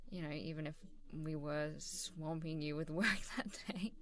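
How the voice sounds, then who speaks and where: A woman playing a warm, supportive, easygoing boss in a casual, encouraging ASMR role-play. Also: sits low, fairly even intonation, unhurried. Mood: fond, amused. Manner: encouraging, casual, chuckling hesitantly between phrases. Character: supportive, warm, easygoing female boss giving a praising review. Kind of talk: ASMR role-play, softly conversational one-sided dialogue.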